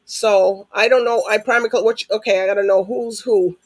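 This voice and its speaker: A woman hosting a casual interview, chatty and quick to laugh. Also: mid-range voice, no echo. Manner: chatty, laughs often, animated. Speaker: interview host.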